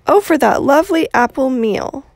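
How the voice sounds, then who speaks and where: A woman voicing a small, greedy male mouse in a storybook reading, squeaky and whiny. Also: lively intonation, moderate pace, creaky, no echo, clean studio sound. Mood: relieved. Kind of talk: storybook read-aloud.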